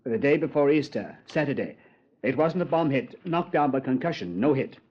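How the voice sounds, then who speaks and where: An actor playing a Scotland Yard commander who narrates the case in a 1950s radio drama, clipped and questioning with hesitant pauses. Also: male voice, mid-range voice, lively intonation, natural voice. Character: measured male police commander, brisk and questioning. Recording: lo-fi vintage radio transcription.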